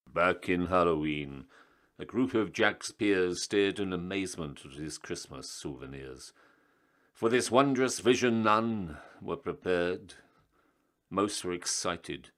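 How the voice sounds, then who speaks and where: Slow, deep man telling stories. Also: fairly bright tone. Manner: theatrical, measured rhyming declamation with strong emphasis. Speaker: storyteller, town crier. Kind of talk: dramatic storybook verse narration.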